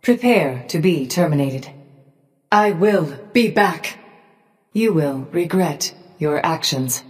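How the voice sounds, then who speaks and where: An actress voicing a cold, cruel robotic Medusa in a video game, with a deliberate, threatening delivery. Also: female voice, sits low, animated. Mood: cold menace. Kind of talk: scripted video game voice lines. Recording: long reverb tail.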